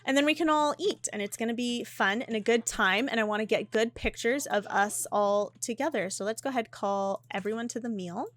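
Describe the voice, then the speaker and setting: A woman casually commenting on her gameplay, chatty and animated, with frequent ums and laughs, her voice slightly hoarse. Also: a little high, brisk, few pauses. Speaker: gaming YouTuber.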